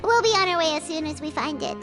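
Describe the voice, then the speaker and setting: A woman voicing a tiny floating female companion creature who is chatty and excitable, with a high squeaky voice and a habit of speaking of herself in the third person. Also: quick pace. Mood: reassuring. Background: game music.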